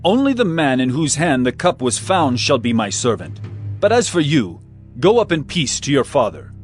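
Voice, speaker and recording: A man playing Joseph, the lively ruler. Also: medium pitch, normal speed, faint music behind, lightly acted. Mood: stern. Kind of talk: dramatized scripture reading.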